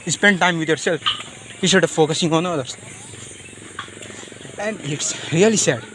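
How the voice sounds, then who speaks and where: A man with a Nepali accent speaking off the cuff in a personal monologue, halting and rambling, often repeating his phrases. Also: on the high side, background noise. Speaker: vlogger.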